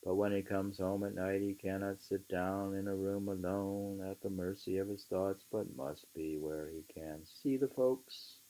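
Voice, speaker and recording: A man reading literature aloud in an emphatic, deliberate, musing way, with informal asides. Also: low voice, steady tone, warm tone, rough recording. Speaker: reader.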